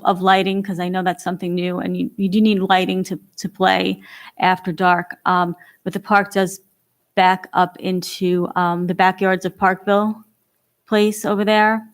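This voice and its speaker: A woman on a town board asking questions at a public meeting in a hesitant, inquisitive way with many fillers. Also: mid-range voice.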